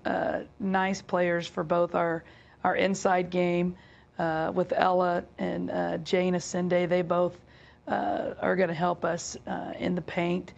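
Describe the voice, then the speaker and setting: A middle-aged woman basketball coach with a hoarse, raspy voice, answering interview questions over a video call with many halting fillers. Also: lowish voice, a little mellow, highs cut off. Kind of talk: remote press interview. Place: office with team logo backdrop.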